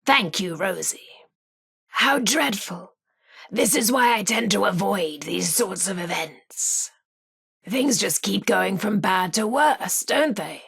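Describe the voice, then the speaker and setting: A vintage radio hostess from a video game, genteel and theatrical. Her voice is breathy and husky with a creaky edge, at a medium pitch. She presents at an unhurried pace, with a flirty, teasing lilt. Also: lively intonation, a bit bright, heavily acted. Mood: dramatic, fretful. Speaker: radio host. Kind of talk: old-time radio presenting with playful banter.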